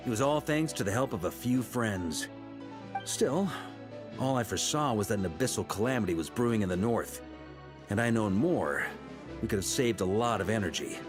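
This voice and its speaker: An actor playing a seasoned, confident knight commander laying out a plan. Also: male voice, fairly low pitch, normal speed, natural voice. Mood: assured. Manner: steady, assured, commanding. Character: seasoned male knight commander, calm planner. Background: game music.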